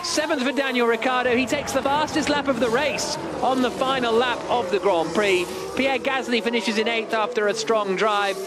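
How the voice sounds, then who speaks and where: High-pitched excitable male race commentator. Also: normal speed, few pauses, over loud music. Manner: excitable, dramatic. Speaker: motor racing commentator. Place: trackside commentary box. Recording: loud engine noise behind. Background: race cars and engine noise.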